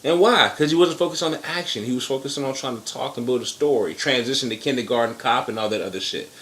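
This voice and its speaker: A man giving blunt, profane opinions in casual chat as co-host, with sudden jumps in pitch. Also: fast, a bit bright.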